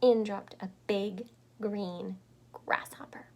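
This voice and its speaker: Woman storyteller for children's picture book: very slow, expressive, deliberate, medium pitch. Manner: deliberate phrasing, dramatic pauses. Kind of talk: expressive read-aloud for children, with asides explaining words.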